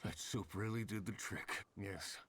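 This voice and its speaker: A man's voice: on the low side, measured pace, fairly bright tone, slight background noise. A man voicing an earnest, courteous, weary hunter in an anime game, speaking rather formally. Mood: weary, recounting.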